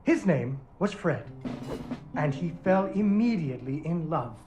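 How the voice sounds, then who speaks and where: A man's voice: a little high, lively intonation, measured pace, highs cut off. A man voicing a playful puppet-show storyteller in a video game, telling a fairy tale in a theatrical, sing-song way, with music playing underneath. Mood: storytelling.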